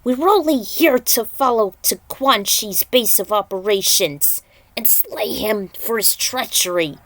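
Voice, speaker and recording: Scornful demon for a story female reader: proud, bitter, unhurried, defiant speeches. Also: a little high, no echo.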